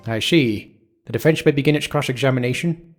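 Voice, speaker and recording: Male actor as official-sounding judge, measured, unhurried, game drama, faint music behind. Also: medium pitch, natural voice. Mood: formal. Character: formal, unhurried courtroom judge. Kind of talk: video game voice dub.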